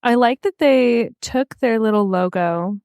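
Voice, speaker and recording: A woman's voice: fairly high pitch, unhurried, darkish tone, clean tone, no echo. Bubbly young woman, dry close sound. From California. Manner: bubbly, laughs often, enthusiastic. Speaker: podcast guest. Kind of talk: casual beer-tasting chat.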